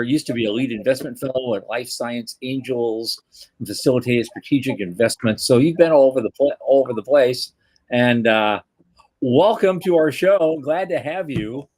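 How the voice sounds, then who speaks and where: A man's voice: mid-range voice, a little mellow, no echo. A man co-hosting a podcast, casual, wry and easygoing as he welcomes a guest. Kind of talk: casual interview chat.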